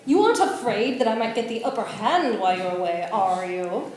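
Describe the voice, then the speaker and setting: A woman's voice: medium pitch, a little fast, a little mellow, natural voice. A woman playing a sly, scheming magician in a radio play, with a goading manner. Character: sly, scheming male court magician, the boss's rival. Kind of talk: amateur radio play acting. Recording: very echoey room, faint noise.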